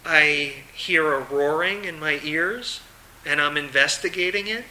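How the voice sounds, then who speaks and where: A small storybook mouse, voiced by a man, timid but determined and full of questions. The voice is bright and sharp, and the words come slowly. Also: a little high, a little hiss or hum, rough recording. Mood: timid, curious.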